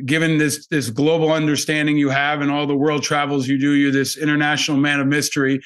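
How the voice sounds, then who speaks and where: A man's voice: fairly high pitch, flat delivery, fairly quick, fairly bright tone, clean tone. A man hosting a podcast interview in an informal, effusive way, with casual language. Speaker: podcast host.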